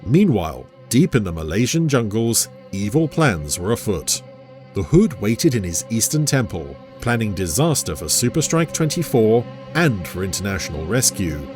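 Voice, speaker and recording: A man's voice: on the low side, sing-song, normal speed, a little mellow, faint music behind. A man narrating a scripted adventure story in a dramatic storytelling style.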